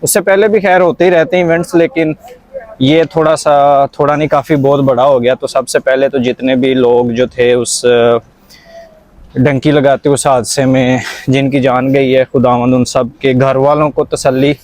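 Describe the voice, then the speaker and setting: A man's voice: medium pitch, steady tone, moderate pace, darkish tone. A young Pakistani man with a Punjabi accent hosting a casual conversational vlog, mixing Punjabi and English words into his talk. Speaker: vlog host.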